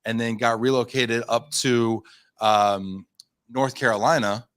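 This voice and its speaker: A man's voice: mid-range voice, steady tone, a little slow, fairly bright tone, smooth, no echo, clean studio sound. A man in his thirties, a company founder being interviewed, telling his story in a chatty, self-deprecating, rambling way. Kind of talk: casual interview talk.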